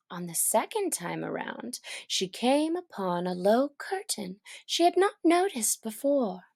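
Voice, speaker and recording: A woman reading a classic children's story aloud in an expressive, gentle storytelling manner. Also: a little high. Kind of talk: read-aloud storybook narration.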